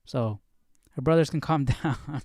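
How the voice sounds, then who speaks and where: A man's voice: medium pitch, measured pace, a little mellow, no echo, clean studio sound. A man hosting a live Bible study, thinking aloud in casual commentary and puzzling through questions as he goes.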